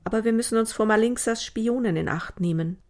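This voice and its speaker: A woman's voice: fairly high pitch, a little fast, darkish tone, voice pushed up, close to an everyday voice. A woman plays an earnest young girl in a fantasy storybook. Her voice is lifted well above an adult register, and she speaks with urgent, dramatic conviction, as if guarding a secret.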